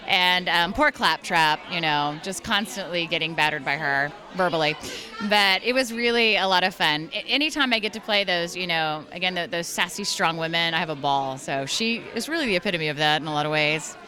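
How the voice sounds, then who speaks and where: A woman voice actress and singer chatting in a convention interview, bubbly and quick to laugh. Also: lowish voice, bright, forceful tone.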